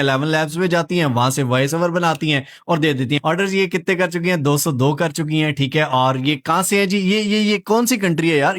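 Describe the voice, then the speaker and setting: A man with a high voice and a Pakistani accent walks viewers through an online how-to. His delivery is informal and emphatic, and he keeps repeating points and posing rhetorical questions to check they follow. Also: fairly bright tone. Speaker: online skills tutor and video host. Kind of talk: informal tutorial narration, walking viewers through websites.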